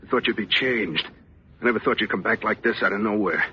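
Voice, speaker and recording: An actor playing an uneasy, needy thief in 1940s radio drama style. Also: male voice, lowish voice, fairly quick, husky. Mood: needy, wistful. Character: shaken male thief, uneasy and needy with his lover. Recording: muffled noisy old radio recording.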